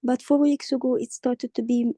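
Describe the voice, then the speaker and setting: A woman playing a hoarse forty-nine-year-old patient with a croaky voice, speaking haltingly with many pauses and restarts. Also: fairly high pitch, flat delivery, mellow, no echo, lightly acted. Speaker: simulated patient in exam practice.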